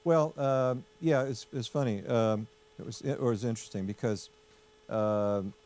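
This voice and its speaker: A man's voice: medium pitch, fairly even intonation, unhurried, a little mellow. A man hosting a casual political discussion, chatty and full of fillers, steering with questions. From United States. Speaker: host.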